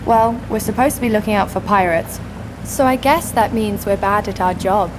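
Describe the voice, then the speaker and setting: A woman's voice: medium pitch, normal speed, background noise, natural voice. A woman playing a wry, adventurous young ship's guard who narrates her own story with a sardonic touch in a scripted audio drama. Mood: playful, sardonic.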